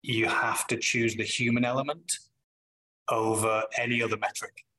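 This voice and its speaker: A middle-aged man with a Manchester accent talks in a bright, forceful voice. He answers thoughtfully, pausing often and restarting his sentences as he works out what he wants to say. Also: mid-range voice, flat delivery, rough recording. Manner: thoughtful, with frequent pauses and restarts. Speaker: business leader guest. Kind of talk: reflective interview answers.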